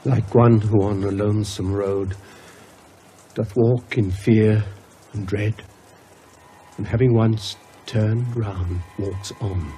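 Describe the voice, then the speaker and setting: An actor playing a nervous, bookish middle-aged professor in an audio horror drama, hesitant, stammering and polite. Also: male voice, fairly low pitch, fairly even intonation, slow pace, warm tone, a little hiss or hum. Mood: brooding.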